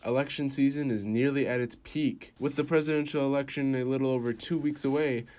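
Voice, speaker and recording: Give the voice of a male television news reporter. He delivers scripted narration and stand-up reports in a mid-pitched voice. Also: fairly even intonation, a little fast, loud music behind the voice.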